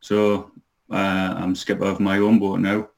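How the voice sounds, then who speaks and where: A man's voice: low voice, monotone, unhurried, vocal fry. A man in his thirties from Newcastle in England, chatting as a podcast guest and hesitating often. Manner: hesitant, many ums and uhs, easygoing. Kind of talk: casual interview.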